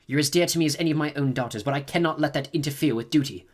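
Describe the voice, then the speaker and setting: A man's voice: a little high, rapid-fire, pitched above the performer's own voice, close to an everyday voice. A man voicing a stern, commanding old woman who scolds sharply in an audiobook reading. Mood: probing. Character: stern imperious old woman, a reverend mother.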